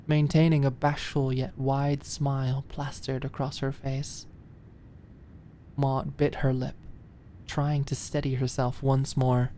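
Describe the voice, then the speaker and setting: A woman's voice: deep voice, mellow, a little hiss or hum. A woman reading dramatic scripted narration as an audiobook narrator.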